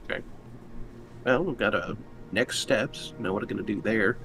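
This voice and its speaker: A man's voice: lowish voice, moderate pace, fairly bright tone, faint music behind, low-quality recording. A man chatting casually as a player in a tabletop roleplaying game.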